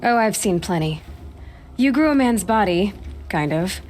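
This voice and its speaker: Young outcast huntress, female, played by an actress: defiant, self-assured, mid-pitched, video game drama. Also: lively intonation, unhurried, a little hiss or hum, close to an everyday voice. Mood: defiant, scornful. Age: young adult. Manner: sharp-tongued, self-assured.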